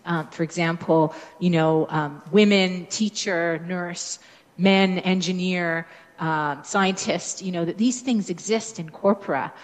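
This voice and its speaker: A woman from Canada with a Canadian accent, speaking thoughtfully on an academic panel, with many fillers and restarts. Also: fairly low pitch. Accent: Canadian English. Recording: echoey room with long reverb.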